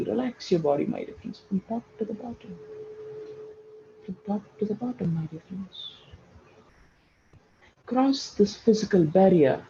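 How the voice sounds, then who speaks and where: A man with a high-pitched yet very soft, mellow voice that turns creaky, guiding a meditation. He gives slow, soothing instructions and repeats short phrases, on a dull recording. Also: slight background noise. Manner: repeats phrases for emphasis, addresses listeners as friends. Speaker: guided meditation teacher.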